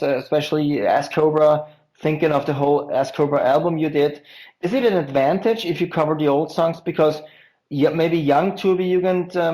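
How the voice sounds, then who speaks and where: A man's voice: on the high side, darkish tone, rough recording. A man hosting a podcast interview, asking long questions with frequent stumbles and restarts. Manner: halting, stumbles over words. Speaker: podcast host.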